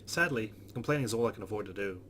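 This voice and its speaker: A man's voice: fairly low pitch, racing, a bit bright, slight background noise. A man hosting a let's play, reading visual novel narration aloud with casual asides.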